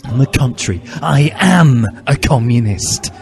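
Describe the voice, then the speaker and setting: A man's voice: mid-range voice, expressive, measured pace. A man voicing a fervent, self-righteous teenage boy revolutionary, declaiming indignantly in a mock-dramatic story reading. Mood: proud, defiant. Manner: bombastic, indignant, shouty declaiming. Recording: music behind the voice.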